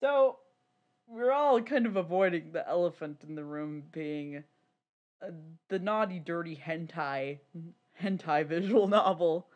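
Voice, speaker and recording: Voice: medium pitch, a little slow, muffled recording. A podcast co-host chatting casually and giving opinions in a rambling, emphatic way, swearing freely. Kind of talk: casual, opinionated podcast chat about niche fan media.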